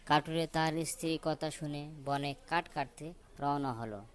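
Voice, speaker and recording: Slow-paced man telling a children's tale. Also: a little high, slight background noise. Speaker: story narrator. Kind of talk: children's folk tale narration.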